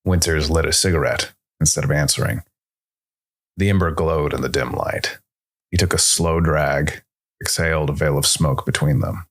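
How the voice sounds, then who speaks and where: A man's voice: very deep voice, moderate pace. A man reading scripted science fiction noir narration as a storyteller. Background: faint music.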